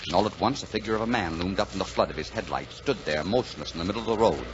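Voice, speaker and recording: An actor playing a solemn storyteller, narrating a 1940s radio drama in a measured, dramatic way. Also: male voice, sits low, lightly acted. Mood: solemn, suspenseful. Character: solemn male storyteller of a Christmas tale. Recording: dull, noisy 1940s radio recording.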